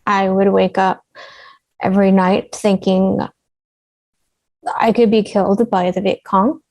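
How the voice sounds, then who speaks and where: An earnest young woman read by a woman at a table read who speaks slowly and haltingly. The voice sits mid-range and sounds dry and close. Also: medium pitch, level tone, a little mellow, dry recording, natural voice. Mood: fearful. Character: young Vietnamese woman recalling a hard childhood. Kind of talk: table read of a drama script.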